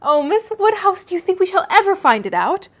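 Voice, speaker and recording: A woman acts out a naive young lady from a classic storybook, pitched very high. She is flighty and wondering, and pours out eager, puzzled questions as if she cannot keep up. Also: very animated, fairly quick. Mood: eager, baffled. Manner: breathless stream of anxious questions. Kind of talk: classic novel read aloud.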